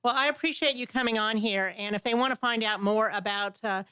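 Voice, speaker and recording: A woman's voice: a little high, fairly quick, a bit bright, smooth, dry recording, rough recording. A woman hosting a local talk show, chatty, asking guests questions with friendly asides. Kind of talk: casual interview.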